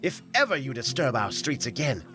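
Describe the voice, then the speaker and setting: An actor playing an angry, commanding prince in a Shakespearean audio play, declaiming forcefully. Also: male voice, mid-range voice, sing-song, moderate pace, music in the background, close to an everyday voice. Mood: stern warning. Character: angry, commanding prince rebuking brawling subjects.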